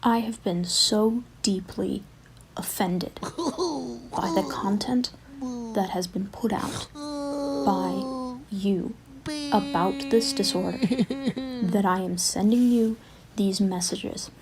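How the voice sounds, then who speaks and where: A woman leaving an earnest recorded complaint message, speaking in halting, deliberate, formal phrases. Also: fairly high pitch, a little mellow, slight background noise, low-quality recording. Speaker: voicemail sender.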